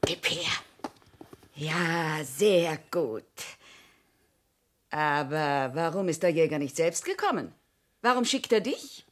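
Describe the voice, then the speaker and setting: A woman's voice: sits low, lively intonation, takes it slowly, pauses often, exaggerated voice. An actress playing a scornful, haughty stepmother queen in a fairy-tale radio play, cutting and commanding. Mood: haughty, indignant. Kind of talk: German radio drama acting.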